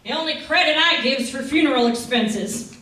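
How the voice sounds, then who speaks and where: A very echoey, distant recording with music behind. a brash, gun-toting frontier saloon woman from a radio western, high in pitch. Her talk is loud, bossy and folksy. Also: moderate pace. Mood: bossy, tough. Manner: loud, bossy, folksy Old West speech. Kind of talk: old-time radio western acting.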